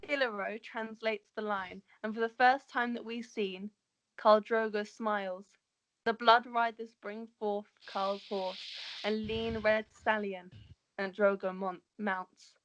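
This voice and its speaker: A woman's voice: mid-range voice, fairly even intonation, slow pace, a bit bright, low-quality recording. A woman narrating the stage directions of a script in an amateur table read, sometimes stumbling over words.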